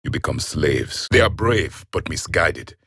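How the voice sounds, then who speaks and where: Casting: stern alien warrior, game character, disdainful formal delivery, slightly husky, very dry studio sound. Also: male voice, very deep voice, sing-song, sharp tone, dry recording, exaggerated voice. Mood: cold, disdainful. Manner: haughty, disdainful, formal measured speech. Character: proud, stern alien warrior, last of an ancient race. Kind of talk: video game character voice lines.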